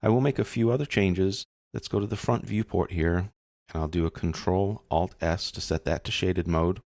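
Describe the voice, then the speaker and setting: A man narrating a software tutorial as an instructor, explaining step by step in a casual way. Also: sits low, no echo, studio quality.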